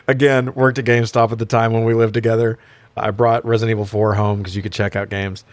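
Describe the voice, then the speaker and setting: A man's voice: lowish voice, little pitch movement, quick pace, no room sound. A middle-aged American man co-hosting a podcast in casual, self-deprecating, sometimes sweary banter. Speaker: podcast co-host.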